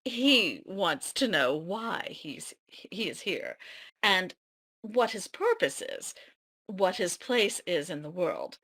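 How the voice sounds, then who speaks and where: Bright-voiced woman reading narration. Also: fairly low pitch, big pitch swings, takes it slowly, slightly rough. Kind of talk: scripted narration, reading aloud.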